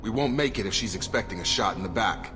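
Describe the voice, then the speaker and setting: An actor playing a loyal, frank soldier in a video game, speaking in an earnest, firm, plain-spoken way. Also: male voice, lowish voice, lively intonation, fast talker, lightly acted. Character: steady, loyal male soldier, frank and dutiful. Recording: reverberant hall under music.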